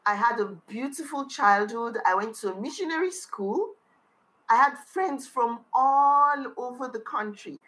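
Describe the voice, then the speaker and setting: A woman's voice: on the high side, animated, a little slow, fairly bright tone, low-quality recording. A woman with a Nigerian accent, the founder of a charity, answering interview questions in a heartfelt way and often repeating phrases.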